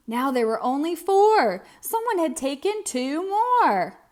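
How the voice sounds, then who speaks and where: A woman's voice: very high voice, sing-song, takes it slowly, a little mellow. A woman narrating a children's read-aloud story expressively, with dramatic emphasis.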